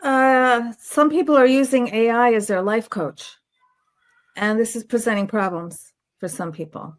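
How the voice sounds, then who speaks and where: A woman hosting a conversational interview, framing her questions in unhurried phrases with pauses. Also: a little high. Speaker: interview show host.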